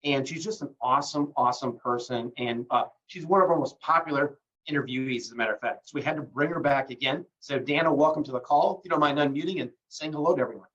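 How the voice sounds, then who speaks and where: A man's voice: mid-range voice, fast talker, hardly any pauses. A man hosting a live call, chatty with lots of fillers, getting over bronchitis. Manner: relaxed, many fillers, promotional. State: recovering from bronchitis. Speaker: host. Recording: distant muffled lo-fi call audio.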